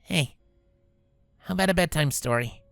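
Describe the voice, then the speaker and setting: Man voicing a soft, creaky big-sister pegasus mare. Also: a little high, normal speed, dry recording, voice pushed up. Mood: caring, casual. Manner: soft, gently reassuring. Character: protective, tender adult female pegasus pony, big-sister type.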